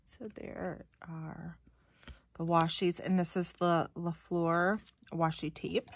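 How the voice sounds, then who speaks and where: Chatty low-voiced woman, slightly stuffy. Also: flat delivery, slow pace. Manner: chatty, informal, thinking aloud. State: slightly stuffy, sniffly nose. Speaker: craft video host. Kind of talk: casual unboxing chat. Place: indoors at a craft table.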